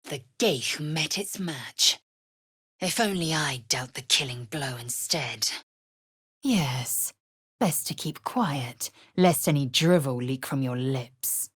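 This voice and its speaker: A woman's voice: a bass voice, lively intonation, slow, a bit bright, slightly rough, dry recording, clean studio sound, heavily acted. A woman voicing a proud, fierce alien warrior woman in a video game, with an imperious, clipped and contemptuous delivery. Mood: scornful.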